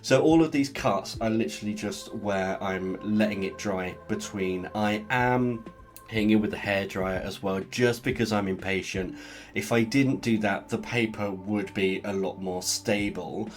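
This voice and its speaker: A man's voice: on the low side, measured pace, faint music behind. A man with a stuffy cold, chatting casually as he talks through a painting. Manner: relaxed, chatty, explains as he paints. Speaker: art channel host. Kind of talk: casual art tutorial narration.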